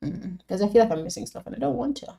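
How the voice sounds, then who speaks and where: A young woman who grew up in Jamaica chats loosely through a live stream, reading out viewers' messages and answering them one by one. Her voice sits in a middle register with a warm, mellow tone. She talks freely, with plenty of "um"s and "like"s along the way. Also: mid-range voice, expressive, quick pace, gravelly. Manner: chatty, answers viewers by name, frequent fillers. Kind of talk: casual live-stream chat.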